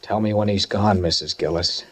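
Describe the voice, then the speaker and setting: An American man acting a menacing, unhinged young handyman in a 1940s radio drama, taunting with deliberate, childlike questions. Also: on the low side, slightly rough. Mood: accusing, menacing. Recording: old radio broadcast, slightly muffled voice.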